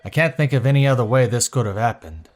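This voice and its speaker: A subdued, principled police subordinate played by a man in an audio drama. He speaks in a medium-pitched voice, hesitant and deferential toward his boss. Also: quick pace, natural voice. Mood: doubtful.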